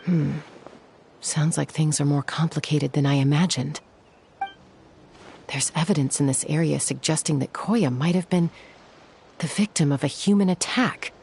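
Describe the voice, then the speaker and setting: A woman voicing a serious, composed young gunslinger in an anime game, measured and earnest. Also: low-pitched, darkish tone, slight background noise.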